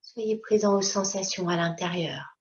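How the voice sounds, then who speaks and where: A woman teaching yoga nidra, leading a slow guided meditation in a calm, unhurried way with short, gentle instructions. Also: mid-range voice. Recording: dull, lo-fi sound.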